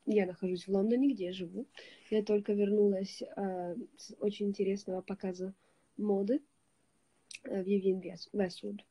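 A woman's voice: mid-range voice, normal speed, husky, no room sound, highs cut off. Young woman livestreaming from her bed. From Switzerland. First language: Italian. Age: young adult. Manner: greets viewers, switches languages, relaxed and chatty. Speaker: livestream host. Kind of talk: casual livestream chat with viewers. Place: lying on a bed indoors. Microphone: phone held at arm's length.

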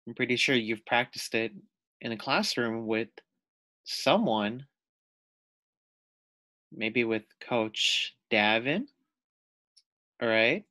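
Slow, bright-voiced man reading to children. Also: medium pitch, animated, no room sound. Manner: deliberate, explanatory, asks the listeners questions. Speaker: teacher reading aloud to first graders. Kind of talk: picture book read-aloud for children.

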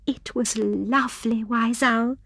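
A woman voicing a sweet, cheerful little female rabbit in a children's storybook, with a gentle lilt. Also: a little high, steady tone, takes it slowly, vocal fry, no room sound, clean studio sound, voice pushed up, full character voice. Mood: happy, grateful.

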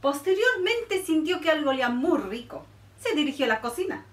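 A woman in her thirties reading a picture book aloud to children, expressive and sing-song. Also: high-pitched, a little fast. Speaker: children's librarian storyteller. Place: indoor room by a felt board. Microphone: camera a few feet away. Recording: roomy sound from a distance.

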